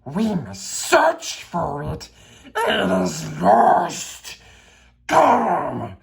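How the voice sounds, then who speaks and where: A woman's voice: on the low side, wildly swooping pitch, very slow, creaky, crowd noise, pitched above the performer's own voice, heavily acted. A woman voicing a wretched, hissing male cave creature who whines and talks to himself. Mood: shrill, petulant. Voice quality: hissing, shrieking. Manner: talks to himself, wailing and whining.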